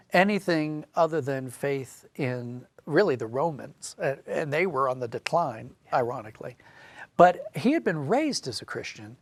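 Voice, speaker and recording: A man's voice: a little high, no room sound. A man speaking as an interview guest, explaining and telling stories conversationally with emphatic phrasing. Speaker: guest, audio drama writer and director.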